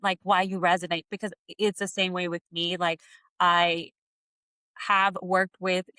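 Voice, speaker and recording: A woman's voice: lowish voice, little pitch movement, measured pace, sharp tone, smooth, no room sound. A woman in her thirties hosting a casual coaching interview in a conversational style. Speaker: podcast host and coach.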